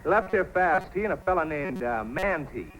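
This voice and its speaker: A dull, faintly noisy old radio recording holds a blunt frontier man. His high voice is aggrieved and demanding, in adventure-serial style. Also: animated, moderate pace, fairly bright tone. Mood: indignant. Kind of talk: old-time radio adventure drama acting.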